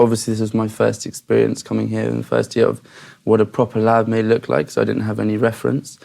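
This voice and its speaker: Fast-talking gravelly young man from England. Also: lowish voice, darkish tone. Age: young adult. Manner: rambling, hesitant restarts, lots of "um" and "like". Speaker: student guest. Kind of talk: casual chat.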